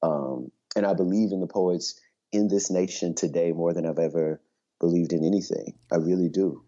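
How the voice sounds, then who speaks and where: Man, very low mellow voice, earnest, reflective interview talk, dull lo-fi audio. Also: fairly even intonation, moderate pace. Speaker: poet interviewed on a podcast.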